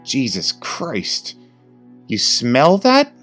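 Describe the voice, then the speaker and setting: A man's voice: medium pitch, wildly swooping pitch, extremely slow, a bit bright, faint music behind, voice pushed up, full character voice. A man voicing a younger, sardonic female detective with a dry, mocking way of talking.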